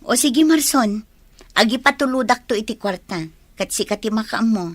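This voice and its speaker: A woman with an Ilocano accent playing a decisive, well-off woman in a radio drama, brisk and businesslike. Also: fairly high pitch, no echo, close to an everyday voice. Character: decisive, well-off woman lending money from afar.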